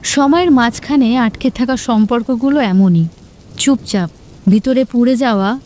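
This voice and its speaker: A woman's voice: a little high, unhurried, darkish tone. A woman narrator reading a scripted romantic story with sentimental, literary phrasing. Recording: music clearly behind the voice.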